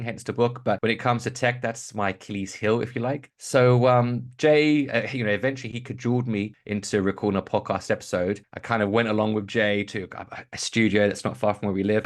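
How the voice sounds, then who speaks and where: A middle-aged man from Kent, a guest on an investing podcast, answering at length in a thoughtful, rambling way with restarts and fillers. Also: lowish voice. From England, Kent. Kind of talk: conversational podcast interview answer.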